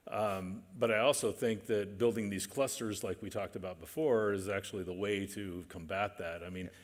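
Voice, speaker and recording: A man speaking as a manufacturing executive on a conference panel, measured and hesitant with many fillers. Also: fairly low pitch, steady tone. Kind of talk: panel discussion. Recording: reverberant conference hall.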